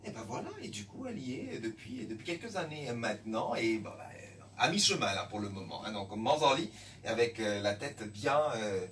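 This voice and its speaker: A man's voice: mid-range voice, lively intonation, normal speed, a bit bright. A man from Mauritius, hosting a radio interview in a chatty, teasing way. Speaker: radio host. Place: radio studio. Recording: distant mic with faint background noise.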